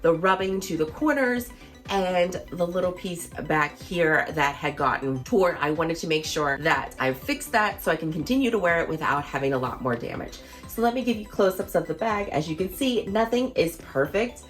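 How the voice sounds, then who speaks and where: A woman in her thirties, a fashion vlogger, chatting in a casual, enthusiastic way, her voice a little hoarse as she gets over losing it. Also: fairly low pitch, expressive, moderate pace, faint music behind. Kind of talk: casual product review vlog.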